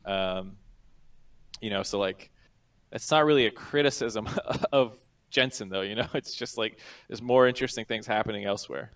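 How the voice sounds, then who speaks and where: A man's voice: lowish voice, moderate pace, fairly bright tone. A man giving casual analysis as an esports panelist, with loose, slangy, sometimes profane phrasing. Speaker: panelist on an esports talk show.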